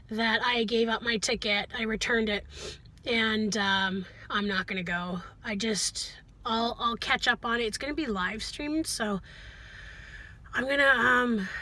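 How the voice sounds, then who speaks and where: A woman talking casually to viewers in a chatty, animated video diary, often interrupting herself. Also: on the high side. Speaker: vlogger, school teacher.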